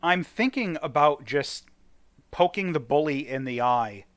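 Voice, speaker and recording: A man's voice: a little high, animated, unhurried, no room sound. A man giving casual let's play commentary, thinking aloud and deliberating as he hosts a gaming video.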